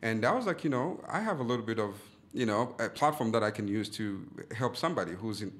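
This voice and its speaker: Zambian-born male guest, gracious storyteller, lower register. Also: lively intonation, fast talker, fairly bright tone. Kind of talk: casual interview chat. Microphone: studio mic.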